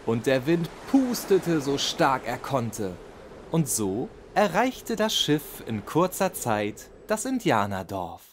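A man's voice: mid-range voice, sing-song, takes it slowly, a little hiss or hum. A man narrating a children's bedtime story as a scripted narrator.

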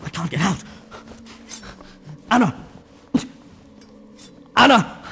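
A man's voice: high-pitched, expressive, drawn-out words, creaky, bright, forceful tone, full character voice. A man acting a terrified father pleading with his daughter in a horror radio drama. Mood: panicked. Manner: panicked, pleading. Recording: noisy recording with background ambience.

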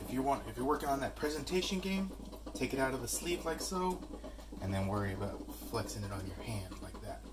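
Young male home-cooking vlogger, casual and jokey, mid-pitched, recorded on a camera mic over clear kitchen sizzle. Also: background noise. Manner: upbeat, joking, self-deprecating. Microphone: camera mic, handheld and tripod. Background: sizzling pan and kitchen sounds.

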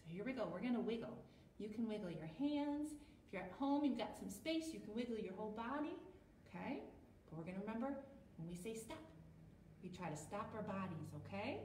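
An American woman in her thirties hosting a toddler storytime in an encouraging, animated, sing-song way. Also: medium pitch, brisk, echoey room, far from the mic, a little hiss or hum, low-quality recording. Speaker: children's storytime host. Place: small plain room indoors.

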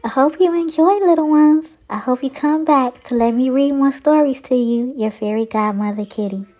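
A woman reading a picture storybook aloud to young children, with theatrical, drawn-out emphasis. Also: sits high, dark tone.

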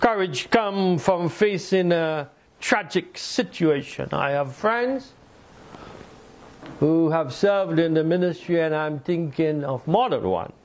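An older man preaching a sermon from a lectern in a small room, slow and deliberate with pauses. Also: on the high side, slight background noise. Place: small indoor room by a fireplace mantel.

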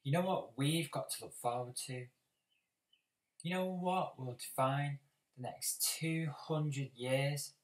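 A man performing a dramatic audition monologue as a bitter, apocalyptic schoolboy, speaking deliberately with heavy pauses. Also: on the high side. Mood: grim foreboding. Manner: deliberate, heavy pauses, swelling from hushed to forceful. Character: bitter, brooding, apocalyptic schoolboy confronting a bully. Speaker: actor auditioning. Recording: roomy, distant microphone.

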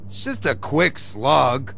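An actor playing a belligerent, drugged barfly in a video game, sarcastic and profane, with slurred, drawn-out emphasis. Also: male voice, mid-range voice, big pitch swings, vocal fry, a bit bright, a little hiss or hum, full character voice. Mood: woozy, insistent. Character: belligerent, drugged, swaggering barfly, spoiling for a fight. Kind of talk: video game dramatic acting.

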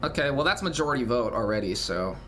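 A young man in his twenties giving casual, low-key reaction commentary with muttered asides. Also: lively intonation, fast, music in the background. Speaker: reaction streamer. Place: small bedroom, indoors. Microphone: over-ear headset, desk mic.

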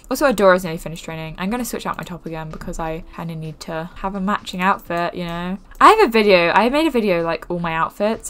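A young woman hosting a gaming channel, chatting casually while she plays, rambling with many fillers in what she calls a monotone way. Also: on the low side. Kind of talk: casual gameplay commentary. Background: faint game music.

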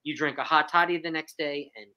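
A woman hosting a live video-call game show, bubbly and emphatic, her voice a bit hoarse. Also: low-pitched, steady tone, a little fast, sharp tone, rough recording. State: hoarse from lost voice.

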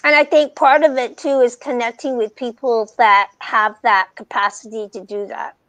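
A middle-aged woman hosting a podcast, chatting in an animated way with frequent restarts, on a video call with wired earbuds. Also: a little high, normal speed, no echo. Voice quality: soft voice. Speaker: podcast host. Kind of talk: casual conversation. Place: home room, video call. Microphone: wired earbuds headset.

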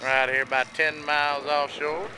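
A man's voice: fairly high pitch, level tone, normal speed. A man giving casual, playful commentary while fishing, speaking outdoors from a boat into a handheld camera. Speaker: fishing video host. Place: outdoors on a small boat at sea. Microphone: handheld camera in open air. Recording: loud boat engine and outdoor noise.

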